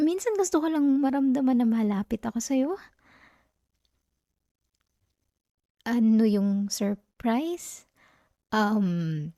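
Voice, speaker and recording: A woman's voice: medium pitch, mellow, no room sound, studio quality. Isang babaeng Pilipina ang gumaganap bilang batang girlfriend na estudyante sa ASMR roleplay, clingy, possessive at malambing na nanunukso at nakikiusap, may punto ng Pilipino. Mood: teasing, evasive. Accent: Filipino. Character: clingy, possessive young student girlfriend, sweetly manipulative. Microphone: close microphone, dry sound.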